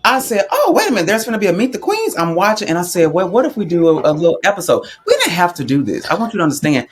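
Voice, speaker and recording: A man co-hosting a casual chat show, colloquial and animated as he gives opinions and tells stories. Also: sits high, very fast, soft background music. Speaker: podcast co-host.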